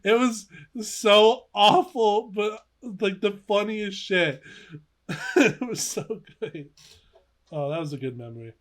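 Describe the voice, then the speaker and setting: A young man co-hosting a casual podcast, telling stories in an animated, giggly way. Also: sits high, slow pace. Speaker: podcast co-host. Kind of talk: casual podcast chat, reminiscing.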